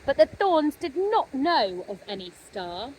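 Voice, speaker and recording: A woman narrating a children's story expressively, with gentle dramatic pauses. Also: sits high, big pitch swings, a little hiss or hum, low-quality recording. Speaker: storybook reader.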